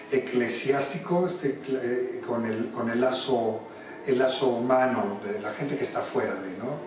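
A Mexican man in his thirties giving reflective interview answers in a halting way, repeating words as he searches. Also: medium pitch. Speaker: interviewee, radio drama actor. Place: small cluttered office. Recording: echoey room, distant mic, muffled, music behind.

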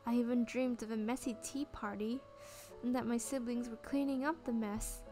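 A woman voicing a sweet, gently musing young florist who works too hard, in a visual novel game. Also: on the high side, steady tone, normal speed, music in the background, close to an everyday voice. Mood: musing, relieved.

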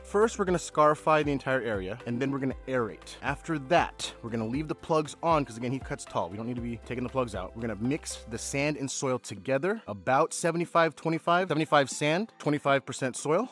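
A man vlogging outdoors in a yard, explaining lawn work in an upbeat, chatty way and laughing often. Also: mid-range voice. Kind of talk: casual how-to vlog.

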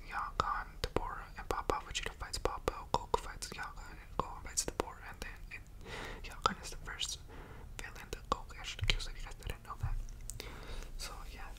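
Young man whispering, casual ASMR. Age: young adult. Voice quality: breathy unvoiced whisper. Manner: rambling, slangy, informal. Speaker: ASMR video creator reviewing a show. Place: indoors in a home room. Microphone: large USB microphone very close to the mouth.

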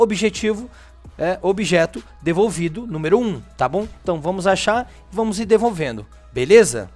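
A Brazilian man hosting a gaming channel, giving a casual, chatty walkthrough in informal speech peppered with little check-in tag questions. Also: high voice. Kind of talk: casual video game walkthrough commentary.

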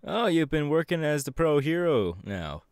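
A man's voice: fairly high pitch, normal speed, clean tone, dry recording, studio quality. A man playing an upbeat, easygoing young electric superhero in a casual, chatty audio roleplay. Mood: friendly, chatty. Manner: casual, animated, hesitant with fillers. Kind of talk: audio roleplay, chatty anime character acting.

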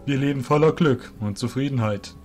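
A man voicing a wise, wary old fairy beast master, with a deep, rumbling voice and measured, formal speech. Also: a little mellow, faint music behind, natural voice. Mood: calm skepticism.

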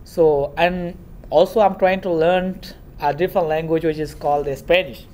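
A man's voice: on the high side, fairly even intonation, moderate pace, a little mellow. A young man from Myanmar, a native Rohingya speaker, giving an earnest talk as a guest speaker in a classroom, filmed by a far camera. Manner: earnest, storytelling with hand gestures.

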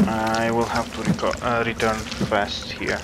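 A young man from Romania streaming a game, talking slowly and haltingly with many fillers, recorded at a desk in a small room. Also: fairly low pitch, completely flat delivery. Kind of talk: casual gameplay chat. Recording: loud background noise.

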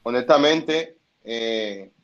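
A man from the Dominican Republic speaking calmly and measuredly on a video call, with pauses and hesitations. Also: medium pitch, highs cut off. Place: home room, indoors. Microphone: laptop webcam on video call.

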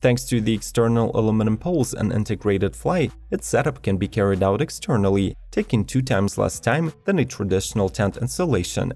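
A man reading a scripted product review as a voice-over, in an even, list-like manner. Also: fairly low pitch, a little fast, few pauses. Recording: voice-over with faint background music.

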